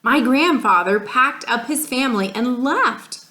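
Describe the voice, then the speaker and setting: A woman's voice: fairly high pitch, expressive, unhurried, bright, forceful tone. A woman reading the part of a wistful male country photographer in a storybook. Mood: wistful, faraway. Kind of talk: storybook read-aloud. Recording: echoey room.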